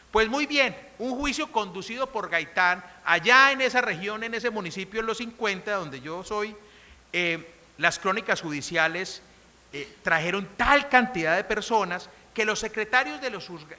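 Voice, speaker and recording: Colombian woman speaker, middle-aged, firm emphatic lecture delivery, piercing bright tone, echoing conference hall. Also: medium pitch. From Colombia, coffee region. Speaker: conference speaker on criminal law. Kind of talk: formal academic lecture, partly read from a text.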